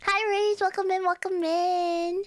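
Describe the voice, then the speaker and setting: A woman's voice: very high-pitched, little pitch movement, measured pace, dry recording. A young woman with a Cantonese accent chatting casually with her viewers as a livestreamer, chatty and giggling often.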